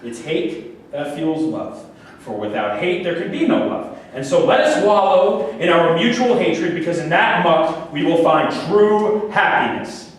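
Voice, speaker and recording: A man's voice: on the high side, lively intonation, measured pace. An actor playing a delusional, manipulative murderer obsessed with a woman, declaiming in a grandiose, preachy way in a stage play reading. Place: large room at a staged reading. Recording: very echoey room, far mic, faint noise.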